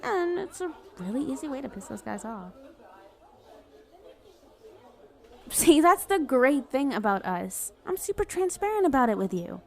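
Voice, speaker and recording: A woman's voice: sits high, sing-song, moderate pace, vocal fry, exaggerated voice. A woman playing a flirty, self-justifying villain in an ASMR roleplay, talking in a casual, playful, sardonic way. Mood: smug, playful. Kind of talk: acted ASMR roleplay monologue.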